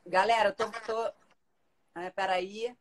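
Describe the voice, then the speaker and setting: A woman's voice: medium pitch, unhurried, crowd noise. Chatty woman hosting a phone livestream. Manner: chatty, lively, reads out comments. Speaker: livestream host. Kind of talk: casual live chat reading viewer comments. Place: living room at home. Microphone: phone camera at arm's length.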